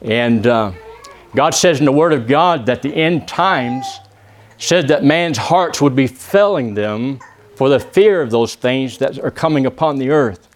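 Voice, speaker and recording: A man from Texas with a Texan accent, preaching a church sermon and praying, often pausing with "uh" and asking the congregation for an "amen". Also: mid-range voice, lively intonation. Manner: peppers phrases with "amen?" asking the congregation to answer; halting, with many "uh" pauses and restarts. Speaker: visiting preacher.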